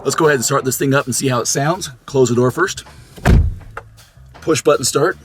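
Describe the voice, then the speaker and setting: A man's voice: medium pitch, a little fast. A man in his forties talks casually and upbeat into a camera held at arm's length. His voice is a little worn from a cold, and he thinks aloud as he goes. Faint music plays underneath. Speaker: car reviewer. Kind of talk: casual walkaround car review. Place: outdoors on a street and inside a parked car. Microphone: handheld camera at arm's length.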